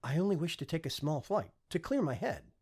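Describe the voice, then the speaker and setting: Man playing a hot-headed young prince, voice swinging high. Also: big pitch swings, brisk, a little mellow, slightly rough, full character voice. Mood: exasperated, defiant. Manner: indignant, argumentative. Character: rebellious exasperated young prince, hot-tempered. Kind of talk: audiobook character acting.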